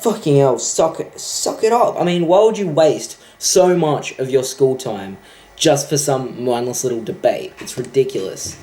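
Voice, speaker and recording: A man's voice: medium pitch, animated, normal speed, warm tone. A young man ranting about a film in a sarcastic, profane, exasperated way. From Australia. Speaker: vlogger. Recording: echoey room.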